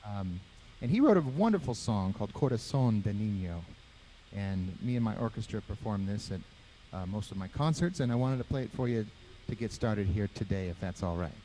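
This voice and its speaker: A man who plays piano chatting in a friendly, relaxed way on a video call and introducing his song. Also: lowish voice, fairly quick, slight background noise, low-quality recording. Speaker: pianist guest. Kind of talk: casual chat.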